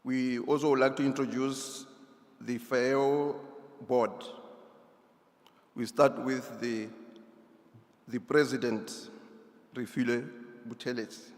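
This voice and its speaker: Man, mid-pitched, formal event speaker reading delegate names haltingly; long reverb of a big hall. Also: flat delivery. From near the Zimbabwe border. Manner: halting, stumbles over names, apologetic. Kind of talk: formal ceremony address.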